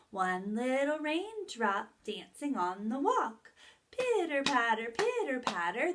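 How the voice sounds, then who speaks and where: A woman leads a story time for young children as their teacher. Her voice is fairly high and very lively in pitch, and she takes her time. She talks directly to the children in an animated, sing-song way. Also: a little hiss or hum. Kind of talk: children's story time lesson with songs and read-aloud.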